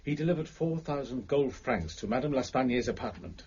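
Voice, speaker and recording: An actor playing an overbearing, contemptuous prefect of police in an audio drama, giving imperious orders in formal language. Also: male voice, medium pitch, animated, brisk, darkish tone, breathy edge, highs cut off. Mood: haughty. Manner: imperious, clipped commands, formal diction.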